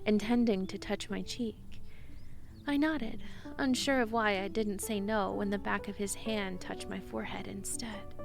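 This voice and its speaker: A woman performs a shy young druid who loves plants, in a romantic fantasy radio play. The voice sits at a medium pitch and sounds earnest and eager. Soft music runs behind it. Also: creaky. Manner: earnest, stammering when flustered in dialogue. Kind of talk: romantic audio drama, first-person narration and dialogue.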